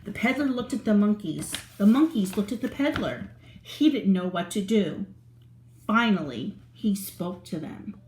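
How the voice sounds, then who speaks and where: A woman reading a picture book aloud to young children, animated and asking them questions. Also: mid-range voice, a little slow, a little hiss or hum. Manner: animated, asks the listener questions. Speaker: children's storyteller. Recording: roomy echo.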